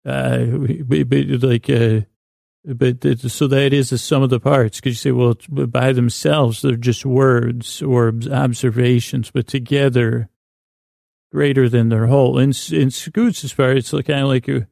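A man hosting a bedtime podcast with a rambling, absurd comic monologue, halting and often losing his thread, his words a bit slurred and mumbled. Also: medium pitch, steady tone, creaky, mellow, no room sound, studio quality.

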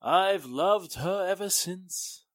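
A man's voice: sits high, slow pace, no echo. A man playing an earnest, lovestruck suitor in a 1940s-style radio drama, theatrical and emphatic. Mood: defiant, ardent.

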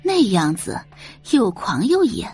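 A female narrator reads dramatic fiction in a mellow, dark-toned voice. Her intonation is lively and varied, with soft music running underneath. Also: medium pitch, unhurried, husky. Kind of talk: dramatic scripted audiobook narration.

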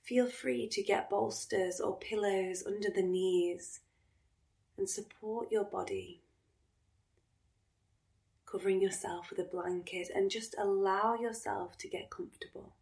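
A woman leads a guided relaxation at a very slow, unhurried pace. Her delivery is soothing and instructional, with phrase endings that drift into soft breath. She sounds like a meditation guide settling listeners into deep rest. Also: steady tone, creaky. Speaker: yoga nidra meditation guide.

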